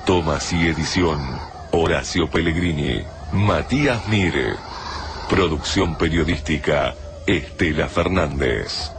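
A man reading radio drama credits as an announcer, formal and deliberate. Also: very deep voice. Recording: radio credits over noisy backdrop.

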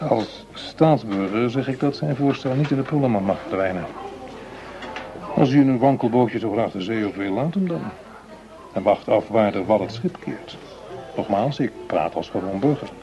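An actor playing a cautious, calculating justice minister in a radio play, speaking in deliberate, formal phrases. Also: male voice, fairly low pitch, animated, lo-fi sound, a crowd in the background.

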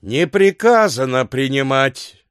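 An actor playing an insolent, blunt doorman in an audio drama, speaking in an unhurried, folksy way. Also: mid-range voice, animated, clean tone, no echo, clean studio sound. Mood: blunt, stolid. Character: insolent common hotel-and-mansion doorman, blunt and scornful. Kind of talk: theatrical audiobook drama acting.

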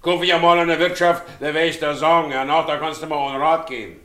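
A man's voice: on the high side, steady tone, quick pace, bright, forceful tone, reverberant. An actor playing a brooding, quarrelsome man with a blunt, commanding manner in a German radio drama. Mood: stubborn, irritated. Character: brooding, quarrelsome husband demanding proof, quick to rage.

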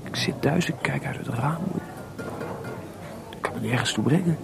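An actor playing a melancholy, restless composer in a Dutch radio play, hesitant and searching for words, with theatrical delivery. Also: male voice, medium pitch, moderate pace, vocal fry, over loud music. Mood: despondent, searching. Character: Mozart, restless, melancholy composer, proud but adrift.

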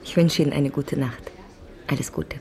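An enigmatic young Russian émigré widow in a radio drama. Her voice sits low for a woman. She is calm, direct and unhurried, quietly forward with the man she addresses. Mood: teasing, composed. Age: young adult.

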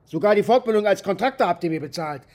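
An actor playing a bitter, maimed former military contractor who rambles coarsely and defensively in a naturalistic radio drama monologue. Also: male voice, sits high, lively intonation, fast, a little mellow. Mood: angry, accusing. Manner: coarse, defensive, agitated rambling with rhetorical questions.

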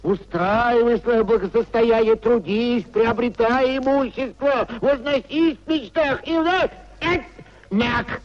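An old government clerk, self-pitying and piously pompous, in a 1950s radio drama. The voice is high and very unhurried, with unctuous, sententious phrasing. The recording is dull and dated. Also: male voice. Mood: moralizing. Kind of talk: 1950s Soviet radio theatre acting.

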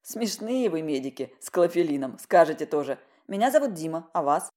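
A woman's voice: sits low, big pitch swings, a little fast, darkish tone. A self-assured, friendly man around forty, played by a woman narrator. He speaks in a teasing, coaxing way, as a charming character in an audiobook story. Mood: amused. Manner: playful, coaxing.

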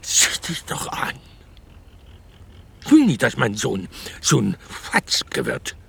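Slow, gravelly, stubborn father. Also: medium pitch, huge pitch swings, a bit bright, full character voice. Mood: stubborn, angry. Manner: grumbling, halting, gruff. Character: weary, proud, stubborn working-class father. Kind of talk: naturalistic radio drama acting.

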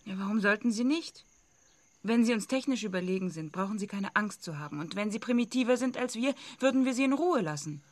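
An actress playing a calm, sceptical idealist who questions a visionary in a radio drama. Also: female voice, mid-range voice, brisk, no room sound, natural voice. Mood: reasoned disagreement.

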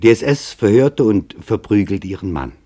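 Man, lowish pitch, soft dark timbre, sober, deliberate, scripted documentary radio narration. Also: expressive.

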